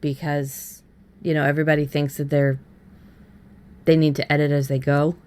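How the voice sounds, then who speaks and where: A woman's voice: low voice, level tone, moderate pace, a little mellow, clear, resonant tone. A woman hosting a podcast, explaining things in an informal, conversational way. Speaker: podcast host. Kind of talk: casual conversational podcast discussion.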